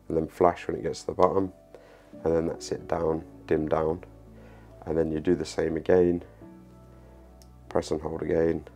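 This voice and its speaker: A man in his thirties with a very deep, monotone voice talks through a product at home, halting often with ums and uhs. He sits close to the camera in a small living room by a window. Soft music plays faintly underneath. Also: gravelly. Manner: hesitant, many ums and uhs, repeats himself. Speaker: outdoor gear reviewer. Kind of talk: casual product demo to camera.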